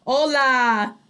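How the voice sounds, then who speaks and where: A woman's voice: high voice, bright, forceful tone. A woman co-hosting a casual live online talk show, chatting in a giggly, informal way. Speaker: online radio show co-host.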